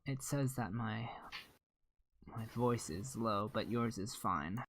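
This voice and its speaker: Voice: medium pitch, slow pace, fairly bright tone, a little hiss or hum. Laid-back, hesitant co-caster on a headset. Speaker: esports co-caster. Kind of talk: live game commentary.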